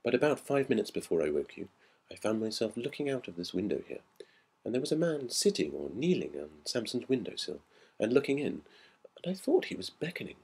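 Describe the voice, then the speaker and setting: A man voicing a frightened, secretive schoolboy in a storybook reading, hushed and hesitant. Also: fairly low pitch, very animated, brisk, slightly rough, pitched above the performer's own voice, heavily acted. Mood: uneasy, hushed. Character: frightened, secretive schoolboy witness, urgent and uneasy.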